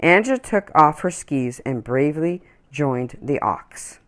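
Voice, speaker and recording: A woman reading a children's story aloud in an expressive, deliberate storytelling manner. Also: low-pitched. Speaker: children's story-time reader.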